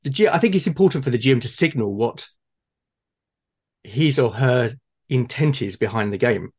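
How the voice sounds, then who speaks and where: A man's voice: mid-range voice, lively intonation, normal speed, darkish tone, breathy edge. A man talking casually in a discussion, tentative and often repeating his words as he works out a thought. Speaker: podcast guest. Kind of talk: casual conversation about tabletop gaming.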